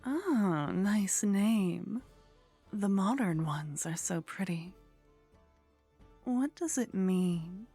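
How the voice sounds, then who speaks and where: Woman acting a slow, breathy, flirtatious vampire lady. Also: mid-range voice, animated, mellow, soft background music, exaggerated voice. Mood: sheepish, polite. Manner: slow, teasing, breathy murmur slipping into whispers. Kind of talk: ASMR roleplay. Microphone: close microphone.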